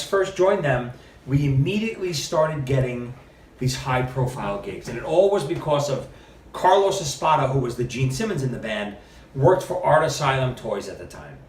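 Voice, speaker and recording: A middle-aged man, a podcast guest, telling stories in a casual, animated way and acting out what other people said. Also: on the high side. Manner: animated storyteller, quotes others with lively shifts. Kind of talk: casual storytelling interview. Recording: roomy, mic at a distance.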